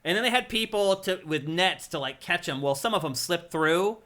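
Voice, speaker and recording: A man's voice: high voice, animated, normal speed, fairly bright tone. A man co-hosting a casual game talk show, easygoing, telling anecdotes and agreeing often. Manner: easygoing, tells anecdotes, frequent agreeing "yeah". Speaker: podcast co-host. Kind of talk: casual chat about games.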